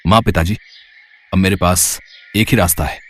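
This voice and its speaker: A man voicing a curious, earnest young prince in an animated story, speaking in a wondering, sincere manner. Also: sits low, normal speed, slight background noise, natural voice.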